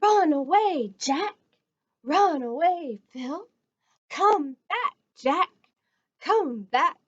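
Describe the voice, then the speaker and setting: High, slow, sing-song American woman. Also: no echo. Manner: sing-song, encouraging, addresses children directly. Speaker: children's storytime presenter. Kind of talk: children's read-aloud and counting rhymes. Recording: dull lo-fi audio.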